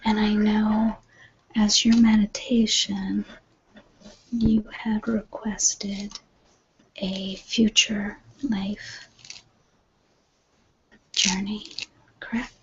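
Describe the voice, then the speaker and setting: A woman voicing a gentle retreat healer in a whispered ASMR roleplay, soft and reassuring. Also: medium pitch, level tone, very slow, long pauses, husky, highs cut off, heavily acted. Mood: soothing, hushed. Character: gentle, soothing female retreat healer attending a client.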